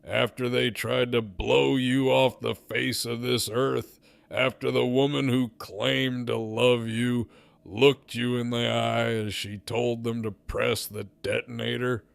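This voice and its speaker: A man playing a smug, menacing gang leader in an audio drama, with a sardonic, profane, deliberate drawl. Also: medium pitch, little pitch movement, gravelly, no room sound. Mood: challenging.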